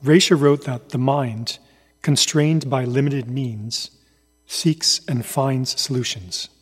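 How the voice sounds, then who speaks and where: A man's voice: mid-range voice, steady tone, takes it slowly, husky. A man delivering scripted documentary-style narration as a narrator.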